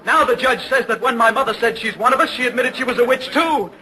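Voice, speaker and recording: An actor playing an angry, frantic son who protests bitterly and vehemently, in old radio drama style. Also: male voice, very high-pitched, quick pace, bright, forceful tone, low-quality recording. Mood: frenzied indignation. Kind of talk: 1940s radio acting.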